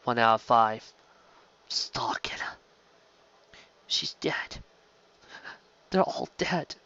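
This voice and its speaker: Man acting a hushed, grief-stricken survivor. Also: medium pitch, animated, clean tone, whispered, lo-fi sound, heavily acted. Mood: numb grief. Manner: stilted, precise, halting delivery. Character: grief-stricken, stilted Englishman, traumatized survivor.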